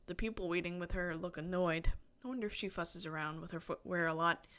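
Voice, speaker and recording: A woman game streamer expressively reading a visual novel aloud, breaking into excited, chatty asides. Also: fairly low pitch, a little fast. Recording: music behind the voice. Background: game music.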